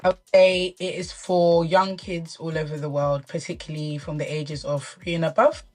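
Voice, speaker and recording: A woman's voice: deep voice, flat delivery, normal speed, soft background music. A woman answering interview questions as a guest author.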